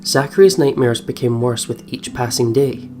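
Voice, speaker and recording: Chatty, giggly game host over soft music. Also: mid-range voice, moderate pace. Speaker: let's play host. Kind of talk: casual chat and reading aloud over game. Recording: music behind the voice. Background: plucked guitar game music.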